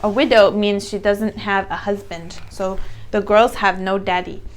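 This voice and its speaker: A woman's voice: medium pitch, fairly even intonation, unhurried, a little hiss or hum. Woman, children's English teacher, storybook read-aloud, simple explanations, frequent questions to listeners.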